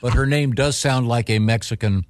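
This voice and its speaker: A man hosting a radio talk show, bantering casually and reading out listener questions in a halting, teasing way. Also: medium pitch, dry recording.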